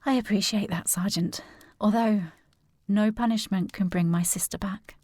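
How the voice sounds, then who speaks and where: A woman performs a resolute young lady in a period audio drama. Her voice has a medium pitch. She speaks with formal poise and an earnest, well-bred air. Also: steady tone, moderate pace, darkish tone, no room sound, studio quality, close to an everyday voice. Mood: grave, subdued.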